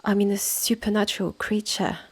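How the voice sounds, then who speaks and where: A woman with a French accent voicing a stern, haughty witch in an audio roleplay, condescending and deliberate, with a creaky, partly hushed delivery. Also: mid-range voice, fairly even intonation. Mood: condescending, ominous. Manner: condescending, deliberate, clipped phrases with exasperated sighs. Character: stern, haughty witch innkeeper, sardonic and impatient. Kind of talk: scripted second-person audio roleplay, close and measured.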